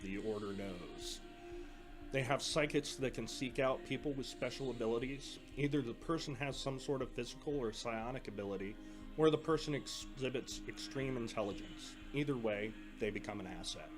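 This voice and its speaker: A man playing an earnest older brother who trains recruits at a military academy, explaining things in a measured way in an audio drama. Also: mid-range voice, over music, natural voice. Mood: matter-of-fact.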